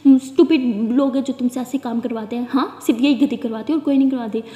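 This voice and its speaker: A hot-tempered, protective young woman, as a woman storyteller puts her on, firing off scoldings. The voice sits high and mellow. A roomy space with a long reverb tail surrounds it. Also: steady tone, lightly acted. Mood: furious. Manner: scolding, loud and rapid-fire when angry. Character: fiery protective young woman, quick to anger.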